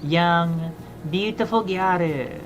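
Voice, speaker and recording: A woman's voice: fairly low pitch, slow pace, lo-fi sound, soft background music. Playful woman streaming a game. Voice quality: playful, jokey, teasing. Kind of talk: casual gameplay commentary.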